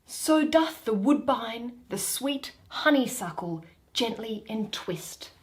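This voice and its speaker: A woman acts a fairy queen besotted with her beloved in a verse stage comedy. Her voice is fairly high and moves slowly, tender and adoring, with wide swings of pitch. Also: animated. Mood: tender, enraptured. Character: Titania, doting, lovestruck fairy queen.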